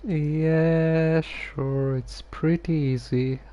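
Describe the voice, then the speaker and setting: A man giving casual live gameplay commentary, haltingly muttering to himself as he plays. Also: on the high side, steady tone, warm tone, lo-fi sound, a little hiss or hum. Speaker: game streamer.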